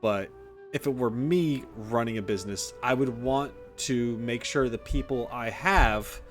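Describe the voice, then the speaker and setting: A man's voice: mid-range voice, unhurried, soft background music. A man streaming a game, giving casual, opinionated, conversational commentary. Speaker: gaming streamer.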